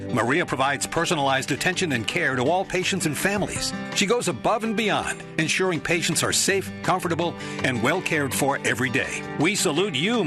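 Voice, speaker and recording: A man reading an earnest sponsor tribute spot on the radio. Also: a little high. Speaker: ad announcer. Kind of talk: scripted sponsor read. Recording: radio spot over music bed.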